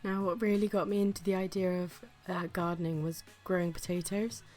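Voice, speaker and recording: Low-voiced woman with a flu. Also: steady tone, fairly quick, darkish tone, soft background music. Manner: chatty, self-deprecating, with occasional whispered asides. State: sick with flu, breathy. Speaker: gardening vlogger. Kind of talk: casual vlog narration.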